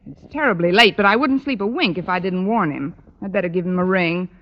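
An actress playing a weary, sardonic schoolteacher, dry and wisecracking, in a vintage radio comedy. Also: female voice, lowish voice, a little fast, natural voice. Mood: tired. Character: wry, sardonic, weary adult woman schoolteacher. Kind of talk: 1940s-50s radio comedy acting. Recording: muffled, noisy old-time radio recording.

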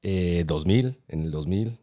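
A man's voice: sits low, lively intonation, slow, warm tone, dry recording, clean studio sound. A man giving casual, emphatic reaction commentary while watching a video, often repeating phrases.

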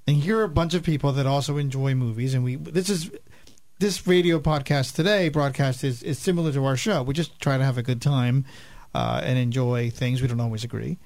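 A man's voice: mid-range voice, a little fast, a little mellow, no room sound. A man co-hosting a radio show, explaining things in a casual, conversational way. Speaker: radio show and podcast co-host.

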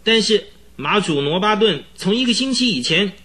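A man's voice: high voice, expressive, moderate pace, pushed and bright, husky, heavily acted. A man playing an agitated, pleading horse trainer in his mid-twenties in a radio drama, stammering and exclaiming. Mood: agitated, urgent. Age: young adult.

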